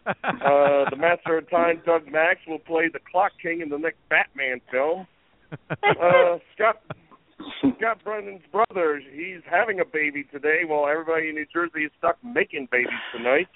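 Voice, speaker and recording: A man's voice: a little high, moderate pace, no room sound. A man on a phone call, reading off a string of dry joke recaps with frequent "uh" fillers during a casual call-in show. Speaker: co-host giving a recap. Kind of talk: casual call-in radio chat. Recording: tinny phone line.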